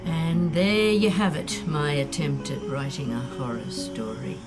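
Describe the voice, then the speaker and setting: A woman's voice: low-pitched, unhurried, over music. A woman podcast host speaking casually to her listeners in a sign-off. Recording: clearly noisy recording.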